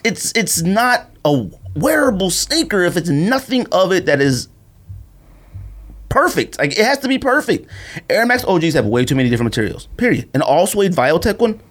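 A man hosting a podcast in casual, slangy chat, speaking in an animated and opinionated way. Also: sits high, normal speed. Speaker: podcast host.

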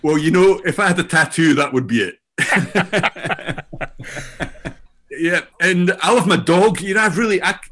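An older Scottish man introducing himself as a guest in a loose, halting way, often laughing, over a remote call. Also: sits high, a bit bright. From Scotland. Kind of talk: casual self-introduction.

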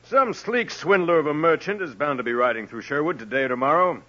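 An actor playing a hearty, wry, loyal outlaw lieutenant in a 1950s radio drama. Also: male voice, on the high side, expressive, fairly quick, sharp tone. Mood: wry, scheming. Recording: dull old radio recording.

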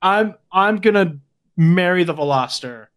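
A man co-hosting a casual podcast who makes dry, deadpan jokes. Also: high-pitched, unhurried. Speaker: podcast co-host.

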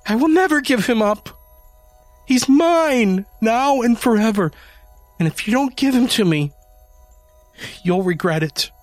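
A man's voice: fairly high pitch, wildly swooping pitch, takes it slowly, no echo, soft background music, voice pushed up, full character voice. A man voicing a grieving young woman who shrieks and pleads in a raised, strained voice. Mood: desperate shrieking.

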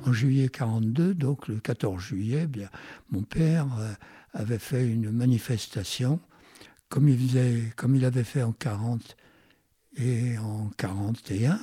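A man, reminiscing unscripted in an interview and halting as he searches for his words. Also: mid-range voice, mellow, husky, no echo, clean studio sound, faint music behind. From France. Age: 90s. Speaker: interview guest recalling his life.

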